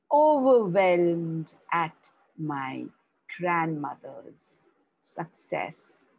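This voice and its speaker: A woman reads a children's story aloud, taking her time over every phrase. Her voice sits a little low and soft-edged with breath, and it rises and falls widely as she tells it. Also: breathy edge, rough recording. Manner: slow, expressive reading with wide swings of pitch.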